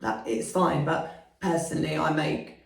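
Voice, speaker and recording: An Englishwoman co-hosting a podcast, husky from a flu, talking frankly and swearing freely. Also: low-pitched, fairly even intonation, unhurried. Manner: blunt, swears freely, self-assured. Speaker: podcast co-host. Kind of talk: frank casual chat. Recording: roomy echo, distant mic.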